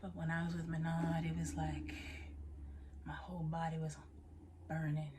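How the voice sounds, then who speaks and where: A woman performing an intimate dramatic monologue as a haunted woman confiding a tale of passion, hushed, breathy and measured. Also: deep voice, level tone, noisy background, voice pushed down, natural voice.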